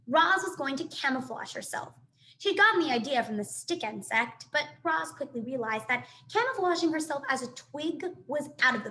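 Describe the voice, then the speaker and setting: A woman reading a children's book aloud in an expressive, animated storytelling manner. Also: high voice, a little hiss or hum. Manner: animated, dramatic sound effects and asides.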